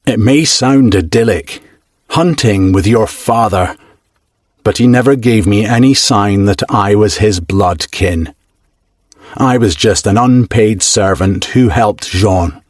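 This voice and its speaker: A man reading a first-person historical novel aloud in a measured, even storytelling manner. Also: lowish voice, no room sound, studio quality. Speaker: audiobook narrator. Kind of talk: first-person scripted narration.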